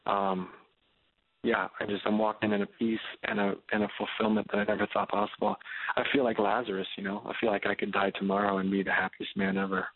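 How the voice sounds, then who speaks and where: A man's voice: lowish voice, flat delivery, brisk, slightly rough, no echo. A man in his thirties talking earnestly as a radio guest, preaching with many stammered restarts. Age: 30s. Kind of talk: phone-in radio interview. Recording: tinny phone line.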